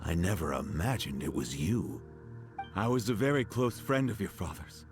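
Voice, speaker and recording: A man playing a gentle, sorrowful older villager in a video game, speaking in a halting, regretful way. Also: low-pitched, very animated. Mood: remorseful. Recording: music playing behind the voice.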